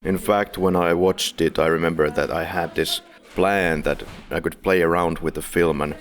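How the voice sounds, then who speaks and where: A man's voice: deep voice, fairly even intonation, normal speed. A man hosting a casual film podcast, making playful, jokey introductions. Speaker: podcast host.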